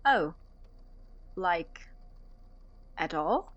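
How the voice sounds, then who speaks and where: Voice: medium pitch, lively intonation, very slow, fairly bright tone, no room sound, muffled recording. A performer playing a breezy, casual young male college roommate in an audio drama, offhand and easygoing. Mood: mildly puzzled. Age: young adult.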